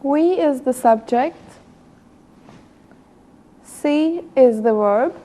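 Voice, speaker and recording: A woman's voice: high voice, animated, extremely slow, mellow. A woman student reading her answers aloud in class, a little hesitantly.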